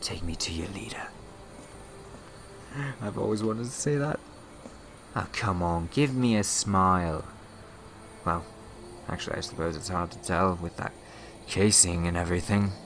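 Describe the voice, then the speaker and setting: A man's voice: low-pitched, measured pace, pauses often, noisy background. A man playing a scheming, mischievous and theatrical villain in a sci-fi fan audio drama, mocking in manner. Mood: playful, mocking. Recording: echoey reverberant hall, music underneath.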